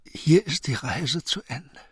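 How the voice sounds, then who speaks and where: An older actor playing a serene, wise old shopkeeper in a radio drama, breathy, tender and philosophical. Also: male voice, on the high side, expressive, slow, dry recording, clean studio sound, exaggerated voice. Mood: serene, weakened. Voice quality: husky, breathy.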